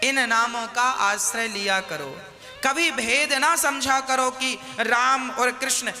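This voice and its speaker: A man's voice: extremely high pitch, takes it slowly, pushed and bright, in a large hall, faint music behind. A man preaching a devotional sermon, scolding and questioning his listeners with rhetorical questions.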